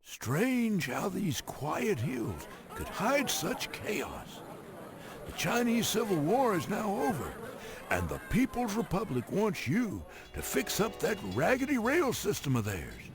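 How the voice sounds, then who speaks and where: A wry, folksy railroad narrator for a video game. His high-pitched male voice swings wildly up and down as he teases and dramatizes each briefing, with music clearly playing behind him. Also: heavily acted. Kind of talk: theatrical scripted mission briefing narration.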